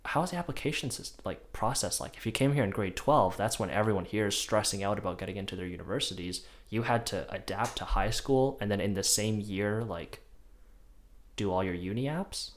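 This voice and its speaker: Young adult male host, lowish pitch, easygoing conversational delivery. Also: a little fast. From China. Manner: relaxed, conversational, rhetorical "right?". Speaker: podcast host. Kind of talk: casual podcast chat.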